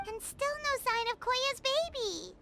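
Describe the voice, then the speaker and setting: A woman voicing a tiny, childlike, excitable companion creature in a video game, squeaky and exclamatory. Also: a little slow, vocal fry, over loud music, full character voice. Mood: worried. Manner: exclamatory, eager.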